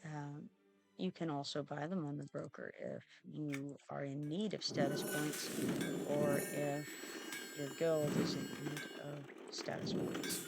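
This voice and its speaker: A streamer giving relaxed, halting commentary over gameplay, stuffy and sniffling from allergies, with lots of fillers and restarts. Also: medium pitch, gravelly. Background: loud background music.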